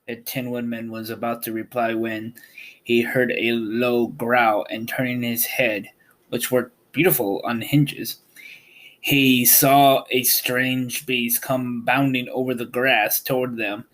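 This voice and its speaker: A man narrating a storybook aloud as host, reading in a halting way with stumbles. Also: mid-range voice, little pitch movement, fairly bright tone, poor audio.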